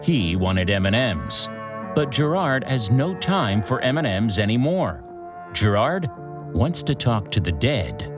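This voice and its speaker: Crime show host, wry storyteller, unhurried, very animated intonation, dramatic pauses, scripted narration. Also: male voice, mid-range voice. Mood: suspenseful. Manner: dramatic, deliberate short sentences with suspenseful pauses. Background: music.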